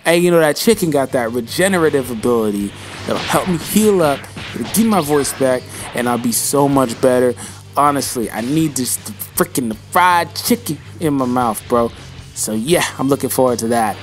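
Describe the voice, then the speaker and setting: A man's voice: mid-range voice, expressive, normal speed, faint music behind. A young man answering viewer questions in a casual vlog, rambling and slangy and calling viewers bro, with his voice starting to go. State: losing his voice.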